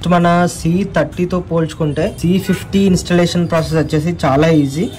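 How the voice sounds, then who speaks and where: A man's voice: a little high, fairly even intonation, brisk, a little mellow, soft background music. A man with a Telugu accent giving a casual step-by-step tutorial as a vlogger, mixing in English words. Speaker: vlogger presenting an installation guide. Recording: clearly noisy recording with ambient sound.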